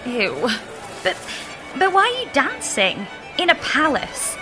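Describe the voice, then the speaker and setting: An actress playing a sarcastic, exasperated young heroine in a sci-fi audio comedy, blunt and incredulous. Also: female voice, high voice, huge pitch swings, takes it slowly, exaggerated voice, low-quality recording. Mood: bewildered. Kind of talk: audio comedy drama acting. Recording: music under the voice.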